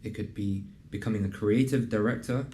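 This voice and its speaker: A young man hosting a casual interview and pitching his program in an earnest, conversational way, with plenty of fillers. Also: steady tone, fairly quick, warm tone, a little hiss or hum. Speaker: host and program founder. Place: in a building in a city business district.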